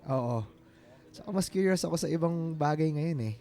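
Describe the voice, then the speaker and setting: An actor with a Filipino accent playing an earnest, smitten ex-boyfriend in his late twenties, speaking haltingly in a radio drama. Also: on the high side, dark tone, close to an everyday voice. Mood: tender, curious. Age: 20s. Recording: music bed behind the voice.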